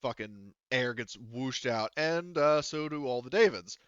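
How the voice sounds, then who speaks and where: A man improvising as game master of a tabletop game, thinking aloud in a halting, casually profane way. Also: mid-range voice, animated, fairly bright tone, clean tone, dry recording, highs cut off.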